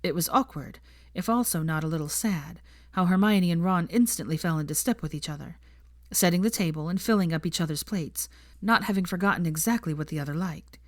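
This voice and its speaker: A woman reading fan fiction aloud as the narrator, in a steady storytelling manner. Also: fairly low pitch, brisk, dry recording, studio quality. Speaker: fanfiction narrator. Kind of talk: scripted narration.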